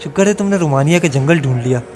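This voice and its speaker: A man's voice: a little high, expressive, quick pace, a little mellow, natural voice. A man playing an easygoing young student in an audio drama. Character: easygoing young male student who loves his sleep.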